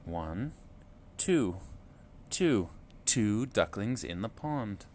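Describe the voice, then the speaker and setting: A man reading a picture book aloud to children in a slow, clear, expressive storytelling manner. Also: fairly low pitch, a little hiss or hum.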